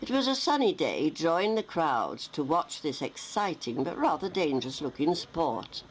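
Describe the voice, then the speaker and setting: Narrator: woman, low pitch, animated scripted newsreel read with dry wit, music underneath. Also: moderate pace, slight background noise, poor audio. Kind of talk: scripted narration with light wry humour.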